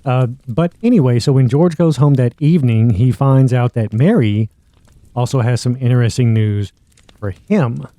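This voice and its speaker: A male podcast host with a very dark, relaxed voice gives a casual story recap. The sound is close and dry, with a medium pitch. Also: normal speed, no room sound.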